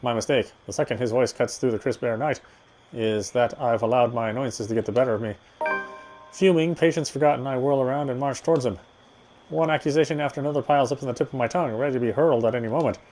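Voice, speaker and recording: A man's voice: medium pitch, fast talker, a little mellow, a little hiss or hum, rough recording. A man reading visual novel narration aloud, expressively, with occasional stumbles over words. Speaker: game streamer. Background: game music.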